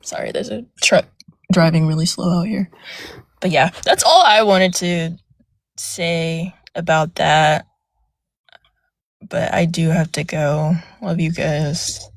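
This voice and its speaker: A young woman with a soft, fairly low voice recounts her experience in a hesitant, filler-heavy way. The sound is dry and close, with no room around it. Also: steady tone, takes it slowly, gravelly, pauses often, no echo. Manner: hesitant, many fillers and restarts. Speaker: guest speaker on a live panel. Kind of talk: casual personal account. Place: outdoors near a road.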